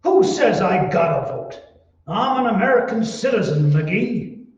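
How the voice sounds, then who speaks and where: A man's voice: high-pitched, expressive, unhurried. An actor playing a pompous, contrary, easily indignant neighbor man in old-time radio comedy style. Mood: indignant, stubborn. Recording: echoey room, distant mic, dull sound.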